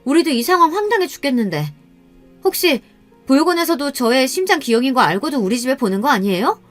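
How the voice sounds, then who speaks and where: A woman voicing an indignant adoptive mother who defensively complains in a shrill, high voice. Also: sing-song, fast talker, faint music behind.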